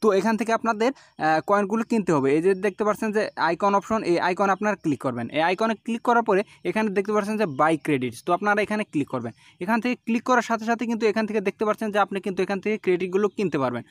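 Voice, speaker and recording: Very fast, high-pitched man. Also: no echo, clean studio sound. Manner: instructional, repetitive step-by-step explaining. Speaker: tech tutorial host. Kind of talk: scripted-sounding app tutorial narration.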